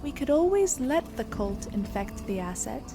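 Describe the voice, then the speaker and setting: A woman's voice: a little high, big pitch swings, normal speed, over music. A woman voicing a composed, assured female elf adventurer in a fantasy game. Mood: cool bargaining.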